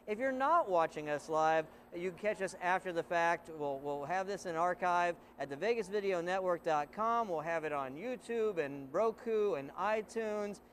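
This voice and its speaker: A middle-aged man with a fairly high voice hosts a web show in a loose, chatty way, often restarting his sentences. A faint hiss of noise sits under his voice. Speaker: show host. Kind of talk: casual hosting chat.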